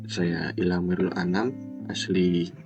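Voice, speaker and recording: A young Javanese man explains his coursework in a very deep voice, pausing often and leaning on fillers. Guitar music plays clearly behind him. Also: level tone. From Yogyakarta. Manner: halting, with pauses and fillers. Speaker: university student presenter. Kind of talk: explaining class material.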